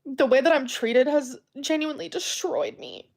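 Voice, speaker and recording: A woman speaking in a personal confessional vlog, earnest, halting and self-pitying. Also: high-pitched. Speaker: vlogger.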